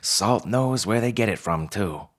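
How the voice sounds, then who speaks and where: A man's voice: lowish voice, lively intonation, unhurried, voice pushed up. A man voicing a bossy female fox store manager in a story, with a barking, clipped, blunt way of talking. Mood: warm pride.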